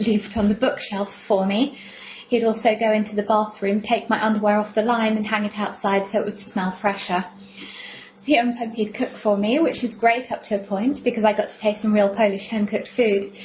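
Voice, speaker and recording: A woman recounts a personal story in a flat, even tone. Her pitch barely moves as she goes on. The sound is dull and lo-fi, with a light hiss. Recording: muffled lo-fi recording with faint hiss.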